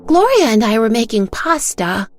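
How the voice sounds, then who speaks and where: A woman putting on an excited little girl. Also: a little high, normal speed, soft background music, pitched above the performer's own voice. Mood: eager. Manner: squealing, eager calls. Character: small excited girl, a young child.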